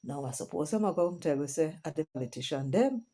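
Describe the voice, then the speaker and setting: A woman's voice: low voice, animated, fast, warm tone. A middle-aged Jamaican woman hosting her channel, talking casually to viewers and switching between patois and English with chatty asides. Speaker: vlogger host. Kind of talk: casual commentary monologue.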